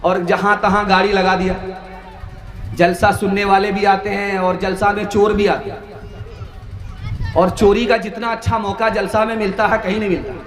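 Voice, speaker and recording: Very high-pitched male preacher, echoing. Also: level tone, moderate pace, long pauses, a faint crowd. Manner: declamatory, melodic chanting of verses and plain storytelling. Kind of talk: religious sermon to a gathering, with anecdotes and sung poetry. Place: large religious gathering with a loudspeaker system. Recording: echoing public-address sound, faint music.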